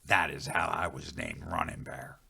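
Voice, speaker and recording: A man voicing a calm, stern male forest guide spirit in a storytelling piece, speaking slowly and solemnly in a hushed, whispery voice. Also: a bass voice, gravelly, slight background noise, voice pushed down, heavily acted. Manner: slow, solemn, measured.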